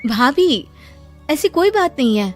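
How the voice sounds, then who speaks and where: A woman's voice: high voice, big pitch swings, measured pace, faint music behind. A woman voicing a fed-up young teacher. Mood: defensive. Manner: defensive, exasperated. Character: unmarried schoolteacher woman around thirty, defensive. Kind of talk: cartoon voice acting.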